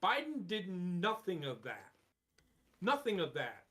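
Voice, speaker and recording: A blunt, profane man holding forth on a casual podcast. His pitch swings up and down as he rants. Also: wildly swooping pitch, slow, pushed and bright, breathy edge. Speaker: podcast co-host. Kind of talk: casual opinionated chat.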